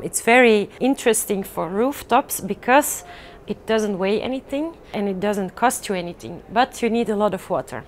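A woman's voice: mid-range voice, slight background noise. Woman giving a rooftop garden tour. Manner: halting, many uh fillers and restarts. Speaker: rooftop farm guide. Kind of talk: casual guided tour. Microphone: lapel mic.

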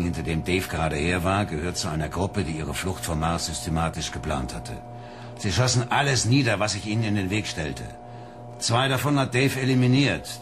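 A man playing a gruff, world-weary police inspector in a radio drama, blunt and sardonic. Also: low-pitched.

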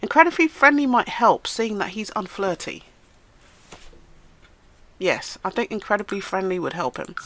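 A woman's voice: mid-range voice, very animated, moderate pace, pauses often, fairly bright tone. A woman hosting a casual let's-play, chatting to the game characters as she plays, sounding nasal and stuffed up from a cold. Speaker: gaming channel host.

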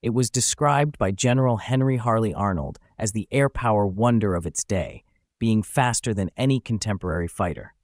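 Low-voiced man reading history narration. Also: normal speed, no echo, studio quality. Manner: even, factual reading of specs and dates. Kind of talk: scripted documentary narration.

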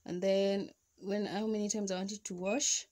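Casual vlog read: young woman at home, mid-pitched, chatty, walks through each step and restarts as she goes. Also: level tone, moderate pace, darkish tone. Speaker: vlogger. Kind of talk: casual home how-to demonstration. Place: indoors at home beside a washing machine.

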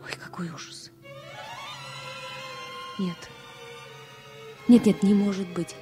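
An actress plays a vulnerable, earnest woman in love in a radio play. She speaks slowly in a mellow, soft-edged voice, halting and confiding, with faint music. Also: medium pitch, steady tone, lightly acted. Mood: hurt, anxious. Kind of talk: radio play acting, 1970 Soviet radio drama.

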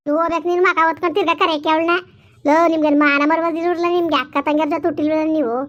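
A woman doing a shrill, foul-mouthed village elder sister. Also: flat delivery, racing, fairly bright tone, lo-fi sound. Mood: scolding. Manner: berating, abusive, hectoring. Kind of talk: village family drama skit.

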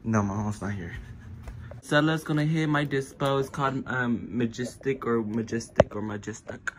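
A man's voice: mid-range voice, normal speed, very noisy. A young man vlogging casually on his phone, full of slang and repeated catchphrases. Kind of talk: casual vlog chat.

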